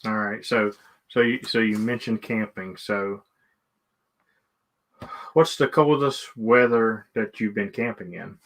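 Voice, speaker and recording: A man's voice: fairly low pitch, takes it slowly, long pauses, fairly bright tone. A man with an American accent hosting a casual chat, asking questions in a folksy, plain-spoken way. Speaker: live stream host.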